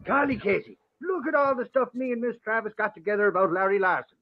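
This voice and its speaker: A man's voice: high-pitched, fast. A man acting an eager, naive newspaper man in vintage radio-drama style. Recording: dull vintage radio recording.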